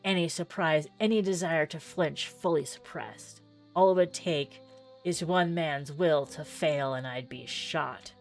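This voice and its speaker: A woman giving a dramatic, deliberate read-aloud of narrative game text, pausing for effect. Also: low voice. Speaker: streamer playing a visual novel demo. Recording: music playing under the voice.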